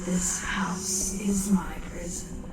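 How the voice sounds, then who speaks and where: A woman's voice: fairly low pitch, fairly even intonation, slow, over loud music. A woman playing a vengeful alien ghost-like entity in an audio drama, menacing and grandiose, slipping into whispers. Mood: menacing, bitter. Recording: cavernous echo, distant voice.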